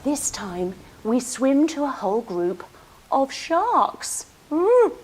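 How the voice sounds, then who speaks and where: A woman leading a children's yoga story in a playful, expressive, encouraging way, acting out the movements and sounds as she goes. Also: high voice, takes it slowly, slightly rough, low-quality recording. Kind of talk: animated storytelling lesson for young children.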